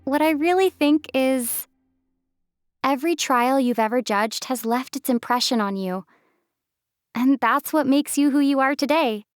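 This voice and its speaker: A thoughtful young traveler from a video game. Her voice is high, smooth and sincere, recorded very dry and close. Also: measured pace, no echo.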